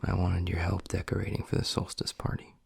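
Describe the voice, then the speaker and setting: A man voicing a gruff but tender young werewolf boyfriend in an intimate audio roleplay, husky and breathy, speaking low and haltingly. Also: completely flat delivery, a little mellow, exaggerated voice. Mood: firm, coaxing. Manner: low, halting, half-whispered delivery.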